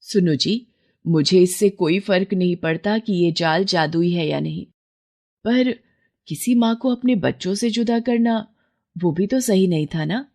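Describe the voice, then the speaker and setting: Woman playing a humble fisherwoman, soft-spoken and kind, husky tone. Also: lowish voice, a little slow. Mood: relieved. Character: poor, kind-hearted fisherwoman wife. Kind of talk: cartoon story voice acting.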